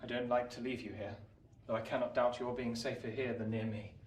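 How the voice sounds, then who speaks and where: An actor playing an earnest, anxious young gentleman in radio play dialogue, polite and sincere. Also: mid-range voice, fairly even intonation, a little fast, close to an everyday voice. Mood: sincere, concerned. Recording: echoey, distant, faint noise.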